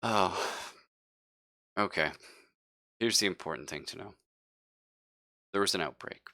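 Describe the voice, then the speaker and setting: A man's voice: low voice, measured pace, bright, forceful tone, dry recording, studio quality. A man acting as game master, narrating and chatting casually in an easygoing, accommodating way. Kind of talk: casual tabletop game narration and table chat.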